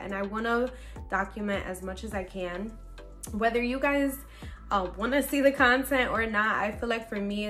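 A woman's voice: mid-range voice, a bit bright. A woman about thirty talking casually to camera in her bedroom, upbeat and chatty with many fillers. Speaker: faith lifestyle vlogger. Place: bedroom at home, indoors.

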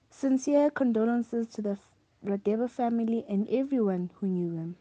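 A woman's voice: medium pitch, warm tone, dry recording. A woman playing a composed news reporter in an audio drama, reading a scripted bulletin formally and evenly, on a dull recording. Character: composed female television news reporter announcing a death.

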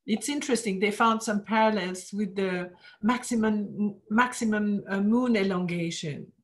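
A woman of middle pitch lecturing over a slideshow, unhurried and explanatory. She pauses often on "uh" while searching for words, and her voice drops away at the ends of phrases. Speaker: art history lecturer. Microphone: screen-share talk, webcam in corner.

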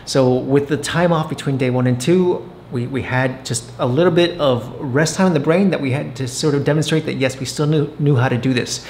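A middle-aged man and father narrating a family travel vlog in a relaxed, rambling way with restarts. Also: medium pitch, fairly quick, hardly any pauses, slight background noise.

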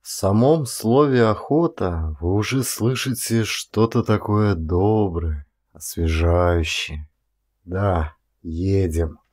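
Guy with a lowish voice reading an audiobook, measured phrasing, draws out words for emphasis. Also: a little mellow. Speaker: audiobook narrator and actor. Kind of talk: expressive literary audiobook narration.

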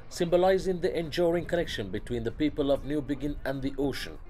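A man giving a polished, scripted voice-over for a family travel vlog, with an even, descriptive delivery. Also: a little high.

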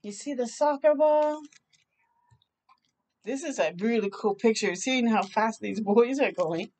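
A woman's voice: fairly high pitch, a little slow, a little mellow. A middle-aged woman hosting a children's storytime, reading aloud expressively with chatty asides. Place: outdoor balcony near a park.

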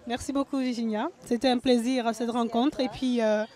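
A young woman hosts a web TV interview at an outdoor festival. Her voice sits high, and she talks in an upbeat, chatty way. She speaks into a handheld mic. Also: fairly even intonation, normal speed, darkish tone. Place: outdoor festival under a tent.

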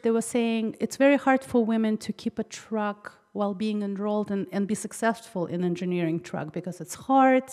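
A woman's voice: mid-range voice, darkish tone. A young woman from Kazakhstan speaking earnestly and talkatively off the cuff into a handheld microphone from the audience. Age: young adult. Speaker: audience member asking a question. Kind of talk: impromptu, personal audience question. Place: large hall, seated in the audience. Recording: reverberant hall, handheld mic.

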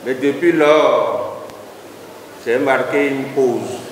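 A middle-aged man, a city mayor and party leader, giving a slow, deliberate political statement into reporters' handheld microphones at a large rally hall. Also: medium pitch, recorded from a distance. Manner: slow, deliberate, emphatic with repetitions. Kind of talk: formal political statement to press. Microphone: handheld reporter microphones held close. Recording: echoey hall, noisy crowd ambience.

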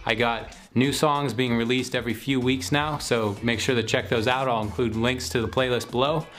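A young man talks through a product review in a relaxed, conversational way. His voice sits at a middling pitch. He is recorded on a camera mic in a small home room, with music in the background. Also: moderate pace. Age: young adult. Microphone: camera microphone at about arm's length or a little more.

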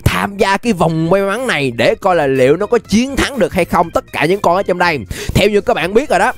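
A playful, chatty young man giving animated gameplay commentary straight to his viewers. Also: high-pitched, brisk. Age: young adult. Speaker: gaming video host. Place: indoor gaming setup. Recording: music behind the voice. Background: music.

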